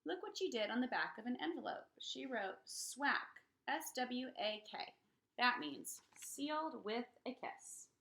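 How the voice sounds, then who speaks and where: A woman reads a picture book aloud with a high, lively voice that swings widely in pitch. Her delivery is expressive and dramatic, pitched at young children, with a bright, clear edge. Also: a little slow. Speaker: read-aloud storyteller.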